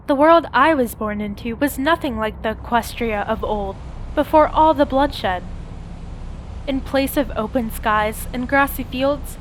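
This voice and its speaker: A cynical pony survivor, played by a woman, tells her story in a fairly high voice. The delivery is measured and dramatic, scripted like a radio play and given to rhetorical questions. Clear background noise sits behind the narration. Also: natural voice. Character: cynical female pony survivor raised in an underground bunker. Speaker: narrator and main character.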